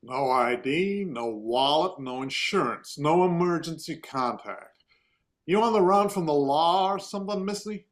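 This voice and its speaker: A man playing a gruff, weary sheriff with a grumbling, folksy manner in a comedic table read. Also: fairly high pitch, expressive, a little slow. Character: gruff, weary small-town male sheriff.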